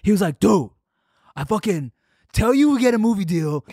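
High, lively, teasing man. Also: normal speed, dry recording, clean studio sound. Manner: playful, teasing. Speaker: podcast host. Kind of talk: casual chat.

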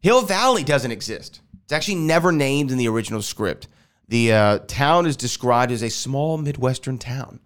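A man's voice: mid-range voice, animated, normal speed, clear, resonant tone. Man, podcast host, nasal and stuffy, rambling, talking at home. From Houston, Texas. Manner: rambling, self-correcting. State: nasal, recovering from a sinus infection. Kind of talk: casual solo podcast talk. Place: air-conditioned house.

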